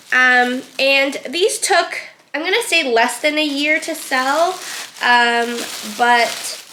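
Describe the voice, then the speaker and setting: A woman's voice: sits high, bright, forceful tone, slight background noise. A young woman vlogger getting over a cold, chatting casually about items she has sold. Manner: chatty, with frequent "um" and "like". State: recovering from a cold. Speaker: reseller vlogger. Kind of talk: casual vlog narration.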